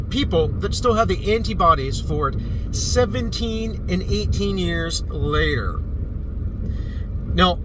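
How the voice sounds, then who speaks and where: A man recovering from a cold who talks in a rambling, dismissive way, with many fillers and restarts. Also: fairly high pitch. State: recovering from a cold, slightly stuffy. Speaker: vlogger. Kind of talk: casual opinion monologue. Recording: distant mic with street noise.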